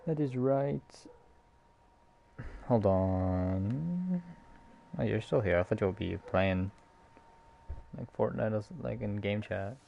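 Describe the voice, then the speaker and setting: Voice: mid-range voice, slow, creaky, pauses often, muffled recording, a little hiss or hum. A game streamer giving casual, off-the-cuff gameplay commentary with some swearing.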